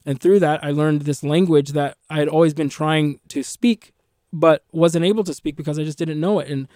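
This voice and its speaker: A man with a fairly high voice, a native Arabic speaker, speaks reflectively in an interview, often restarting phrases and adding "you know". The sound is dry and close. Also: steady tone, moderate pace, darkish tone, no room sound. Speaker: musician guest. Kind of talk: interview conversation.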